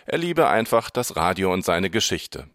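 A man's voice: low voice, very animated, normal speed, a bit bright, no echo, clean studio sound. A man narrating a scripted radio report as the reporter.

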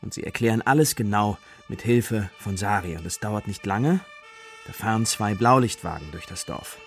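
A man with a gravelly, husky voice narrating a children's radio play as a storyteller. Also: fairly low pitch, moderate pace, faint music behind.

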